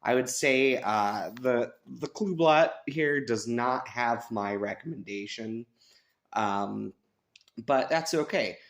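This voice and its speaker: An American man giving a casual, unscripted review, thinking aloud with hesitant pauses. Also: mid-range voice. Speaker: radio reviewer. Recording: clear radio static and hiss behind. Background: shortwave radio static and stations.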